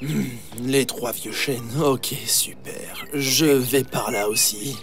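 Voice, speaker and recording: Slow, sly cartoon wolf. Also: male voice, mid-range voice, steady tone. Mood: sly, feigned politeness. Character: sly, hungry, scheming big bad male wolf. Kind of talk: children's cartoon voice acting. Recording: music playing behind. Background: background music.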